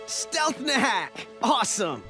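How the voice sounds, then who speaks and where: A man's voice: very high voice, very animated, slow, full character voice. A man playing an eager, curious, upbeat teenage boy adventurer in a video game cutscene. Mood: excited. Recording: music behind the voice.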